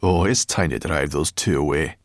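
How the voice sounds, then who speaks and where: A man voicing a grumpy, sharp-tongued female brownie in an audiobook, with a growling, scornful and cheeky manner. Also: rumbling low, normal speed, dry recording, clean studio sound. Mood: cocky. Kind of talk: audiobook character voice.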